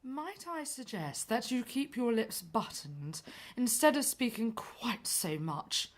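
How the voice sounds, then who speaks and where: A woman's voice: a little high, animated, slow. A woman voices a scheming male royal aide in a musical radio play. The manner is formal and stern, deferential to royalty and curt with underlings. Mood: hushed scolding. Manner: deferential to the king, curt with others.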